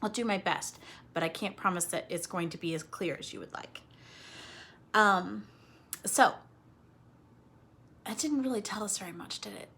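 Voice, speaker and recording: A woman with a slightly husky voice leading a read-aloud lesson for children, explaining things simply in an expressive, coaxing way and speaking to them directly. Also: mid-range voice, normal speed, pauses often. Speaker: teacher reading aloud to children.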